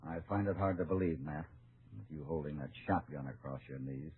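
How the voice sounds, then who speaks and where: An actor playing a calm, steely cowboy lawman in an old American radio western, speaking in a measured, firm, grave way. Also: very deep voice. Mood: grave, regretful. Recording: muffled, narrow-band old radio recording.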